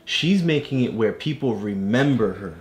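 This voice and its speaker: A man's voice: lowish voice, animated, normal speed. A man, a father, casually and patiently explaining things to his child, checking with questions as he goes.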